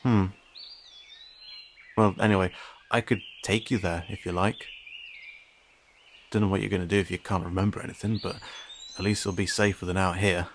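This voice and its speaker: Man playing a low-voiced young cat-man in audio drama. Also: little pitch movement, moderate pace, long pauses, a little hiss or hum. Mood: friendly, teasing. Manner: informal, hesitant, gently questioning with dry teasing. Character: friendly, teasing young male cat-person, agile and concerned. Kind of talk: audio roleplay drama addressed to a silent listener.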